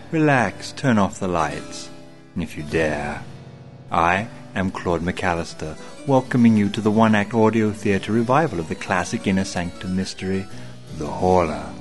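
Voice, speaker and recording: Sinister gentleman host, played by an actor for radio horror. Very low voice, theatrical and ominous, faint music underneath. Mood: welcoming, ominous. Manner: theatrical, ominous, teasing, deliberate. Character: sinister, genteel male horror-show host. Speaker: host and narrator. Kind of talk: old-time radio horror narration.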